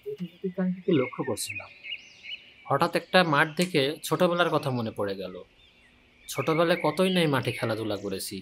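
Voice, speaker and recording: A young man narrating a spooky story in the first person, calm and steady. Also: a little high, normal speed, a little hiss or hum.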